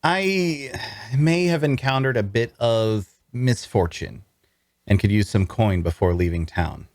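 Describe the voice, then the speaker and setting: A man's voice: fairly low pitch, lively intonation, measured pace, a little mellow, dry recording, clean studio sound. An actor playing an earnest, cash-strapped traveler, telling his tale in first-person fantasy narration and dialogue. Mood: uneasy. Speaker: narrator and lead actor in a table read.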